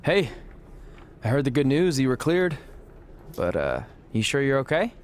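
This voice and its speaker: An earnest, eager young wrestler in a video game story. His mid-pitched voice moves up and down freely, with a hesitant, friendly awkwardness. Faint noise sits behind him. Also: sing-song, measured pace. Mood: supportive. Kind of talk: scripted video game cutscene acting.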